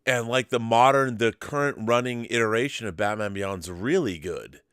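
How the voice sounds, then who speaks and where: A man's voice: medium pitch, normal speed, a bit bright, clean tone, no echo, clean studio sound. A man co-hosting a casual fan debate, speaking emphatically and repeating words for stress. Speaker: co-host of a pop culture discussion show.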